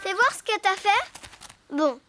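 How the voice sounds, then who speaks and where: An actor voicing a bold, meddling little girl in a French children's cartoon, perky, insistent and expressive. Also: very high voice, measured pace, noisy background. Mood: cheerful, breezy.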